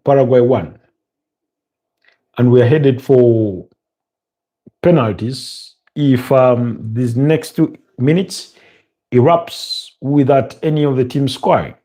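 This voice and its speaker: A man's voice: medium pitch, slow, pauses often. A man from Uganda with a Ugandan English accent, chatting casually and commenting on a match live as host, with frequent ums and uhs. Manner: relaxed, conversational, many ums and uhs. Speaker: watch-along host.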